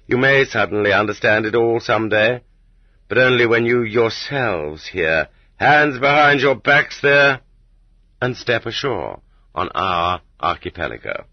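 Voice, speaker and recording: Male audiobook reader, husky, dry studio sound slightly muffled, measured and dramatic emphasis. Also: mid-range voice, lively intonation, dry recording. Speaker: audiobook narrator. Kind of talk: scripted audiobook narration.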